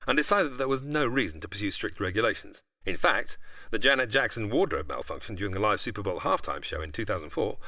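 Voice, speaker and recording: Male guest expert, articulate storyteller. Low-pitched but lively, with a dry, close sound. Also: fast, no room sound. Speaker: guest expert explaining history. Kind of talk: explanatory interview, largely prepared narration.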